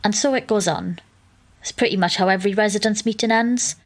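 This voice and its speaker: A woman's voice: medium pitch, fairly quick, natural voice. An actress playing an exasperated young woman who narrates an audio drama in a wry, sardonic manner.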